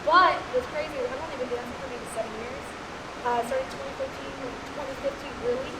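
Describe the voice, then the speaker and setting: A woman's voice: high voice, quick pace. A young woman from Los Angeles on a dance panel, answering candidly in loose, rambling talk full of fillers in front of a live audience. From Los Angeles, USA. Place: room before a live audience. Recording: echoey room with steady hiss.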